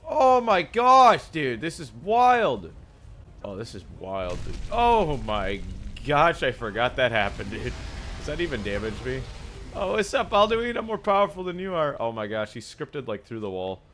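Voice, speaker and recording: A young man with a raspy, sore-sounding voice, casually commenting on his gameplay, often breaking into excited yelps between low mumbles. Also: measured pace, slight background noise. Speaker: gaming YouTuber.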